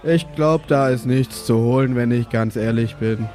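A man's voice: mid-range voice, normal speed, warm tone, natural voice. A man playing an opportunistic card-playing adventurer in a tabletop game, casual and politely angling. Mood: offhand. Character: opportunistic male adventurer, card-playing younger-sounding brother. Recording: music playing behind the voice.

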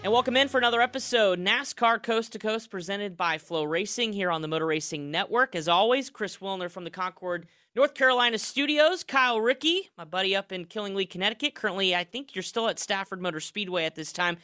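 A man hosts a motorsport talk show from a studio. His voice is bright and crisp. He keeps a chatty, upbeat flow with casual restarts. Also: high voice, fairly quick, few pauses. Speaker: racing podcast host.

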